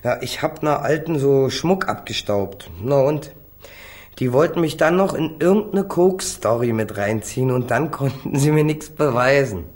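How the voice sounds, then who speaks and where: A man's voice: mid-range voice, animated, moderate pace, a little mellow, natural voice. Guy playing a young street-tough crook in a radio krimi, mouthy, bitter, slangy, always pushing back. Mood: defiant, irritated. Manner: flippant, slangy, defiant. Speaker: actor playing a defendant. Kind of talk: German radio crime drama acting.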